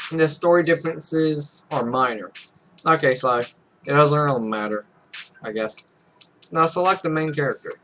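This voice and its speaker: A man's voice: a little high, fairly bright tone. A man in his twenties casually commentating a game and reading its text aloud, with rambling, offhand asides. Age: 20s. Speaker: let's play host. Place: small home room, indoors. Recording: noisy home recording.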